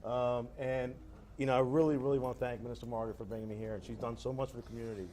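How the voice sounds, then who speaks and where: Quick, eager man from Ghana, guest speaker, mid-pitched. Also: flat delivery, dark tone, slight background noise. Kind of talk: casual talk to young audience.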